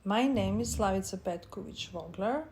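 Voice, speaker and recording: A woman from Serbia, speaking, presents her book with slow, halting speech and frequent pauses. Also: medium pitch, a little mellow. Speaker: author and divination teacher.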